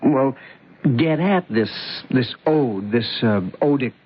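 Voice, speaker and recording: An actor playing a glib, chatty, skeptical young man in an old-time radio drama, breezy and halting. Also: medium pitch, lively intonation. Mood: polite, curious. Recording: muffled, noisy old radio recording.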